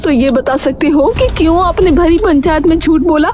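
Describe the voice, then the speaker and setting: A woman's voice: very high-pitched, normal speed, background noise, pitched above the performer's own voice. A woman voicing a suspicious, hurt wife who questions her husband accusingly in a Hindi cartoon story. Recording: music under the voice.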